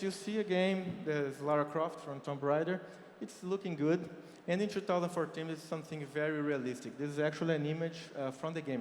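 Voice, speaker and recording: A man's voice: a little high, slight background noise. A man from Brazil with a Brazilian Portuguese accent, giving a conference keynote and explaining figures step by step with many fillers. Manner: explanatory, walks through numbers with frequent fillers. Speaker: keynote speaker. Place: large conference hall. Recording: roomy hall reverb with faint ambience.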